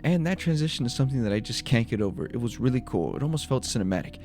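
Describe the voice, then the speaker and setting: A man's voice: medium pitch, expressive, racing, a little mellow, faint music behind. A man giving a scripted breakdown of a horror video as its creator, in voice-over narration.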